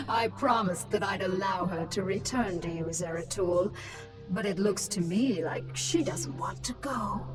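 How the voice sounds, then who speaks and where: A woman acting as a ruthless queen of an alien swarm in a video game. Her mid-pitched voice is smug, mocking and commanding, set a little far off under loud music. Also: expressive, a little slow, lightly acted. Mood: gloating.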